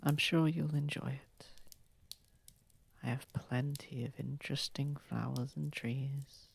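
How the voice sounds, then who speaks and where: Courtly vampire of the manor an actor's role: breathy, gravelly, gentle and unhurried, intimate ASMR delivery. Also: medium pitch, steady tone, exaggerated voice. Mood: soothing, warm. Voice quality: breathy, husky, slightly creaky. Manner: soft-spoken, soothing, unhurried, slightly formal. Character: posh, gentle, protective vampire lord of a manor. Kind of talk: ASMR roleplay, soft intimate acting. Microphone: close microphone, dry.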